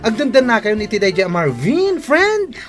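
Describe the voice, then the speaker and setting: An actress playing a frank, scolding young best friend in an Ilocano radio drama, with an Ilocano accent. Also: female voice, a little high, very animated, measured pace, soft background music. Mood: reproachful.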